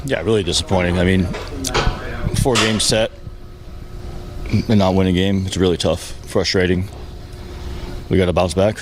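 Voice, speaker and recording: Low, level-voiced man at press conference. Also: fairly even intonation, moderate pace, pauses often. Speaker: baseball team manager. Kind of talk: post-game press conference answers. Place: post-game press session with reporters. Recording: faint background noise at press session.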